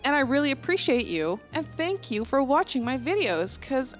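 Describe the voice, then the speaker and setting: A woman's voice: high voice, big pitch swings, normal speed. Chatty young Dutch woman, giggly voiceover. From Netherlands. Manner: chatty, giggly, self-deprecating, with many fillers and restarts. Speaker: Sims speed build vlogger. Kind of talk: casual rambling voiceover. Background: soft background music.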